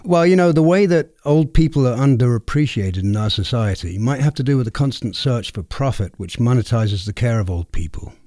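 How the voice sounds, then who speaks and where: A man's voice: mid-range voice, expressive, brisk, few pauses, mellow, no room sound. Male co-host, mid-range voice, conversational interview delivery, dry studio-close sound. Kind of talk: conversational discussion.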